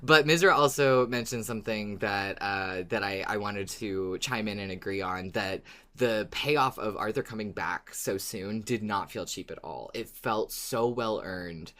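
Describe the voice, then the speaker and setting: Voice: low-pitched, measured pace, few pauses, a bit bright. A podcast co-host chatting casually and expressively about a favorite show, dramatizing reactions. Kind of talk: casual fan podcast chat.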